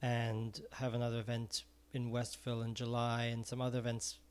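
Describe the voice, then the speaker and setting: A man's voice: medium pitch, monotone, fairly quick, clear, resonant tone. A middle-aged man from Israel, an ice cream vendor and former music teacher, talking earnestly in an interview and often repeating words as he searches for phrasing. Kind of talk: casual interview talk.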